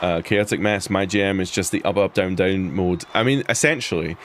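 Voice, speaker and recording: A man's voice: sits low, brisk, background noise. A man with an Edinburgh Scottish accent chatting casually while playing a wrestling game, rambling and restarting his sentences. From Scotland. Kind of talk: casual live commentary on gameplay.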